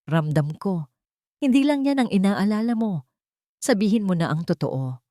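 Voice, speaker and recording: A woman's voice: medium pitch, animated, normal speed, warm tone, no room sound, studio quality, lightly acted. Woman playing a shaken but resolute heroine. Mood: firm. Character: shaken but resolute young mother in a romance drama.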